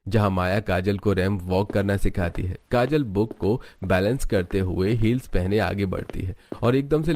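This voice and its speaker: Lower-pitched male cartoon story narrator. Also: a little mellow.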